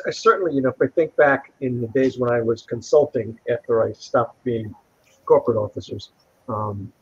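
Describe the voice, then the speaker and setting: A man's voice: medium pitch, husky. An older man giving an informal comment as a participant in an online call. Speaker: event participant, former consultant. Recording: dull lo-fi call audio.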